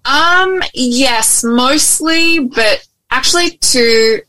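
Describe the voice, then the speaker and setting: A woman's voice: sits high, very slow, sharp tone, no echo. A young adult woman from Australia with an Australian accent tinged with American, chatting openly as an interview guest with ums and restarts. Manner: open, friendly, with frequent ums and restarts. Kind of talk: casual interview conversation. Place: at home in Hawaii, remote call.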